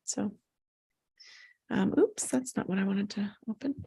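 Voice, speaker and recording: A woman's voice: medium pitch, unhurried, gravelly, mellow, husky. A woman hosting a casual group chat in an informal, blunt way. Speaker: host of table read.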